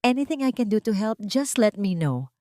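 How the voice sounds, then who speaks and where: A woman's voice: medium pitch, very animated, normal speed, darkish tone, no echo, studio quality, pitched above the performer's own voice, close to an everyday voice. A woman voicing an overly friendly, smiling man who talks in a breezy, familiar way. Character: overfamiliar smiling man, mother's new partner.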